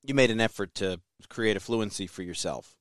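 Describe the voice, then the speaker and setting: A man's voice: on the low side, normal speed, dry recording, clean studio sound. A man hosting a podcast, leading the talk with conversational questions. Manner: conversational interviewer, leads with questions. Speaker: podcast host.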